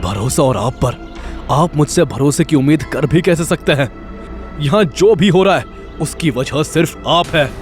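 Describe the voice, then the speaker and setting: A man's voice: a little high, lively intonation, unhurried, soft background music. A man playing an intense young man in an audio drama, insistent and emphatic in his questioning. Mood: bitter.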